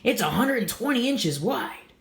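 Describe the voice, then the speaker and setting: A man voicing an excitable young girl in a children's storybook read-aloud. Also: very high voice, moderate pace, creaky, roomy sound, voice pushed up, heavily acted. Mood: eager. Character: excitable young girl, bored then giggly and eager.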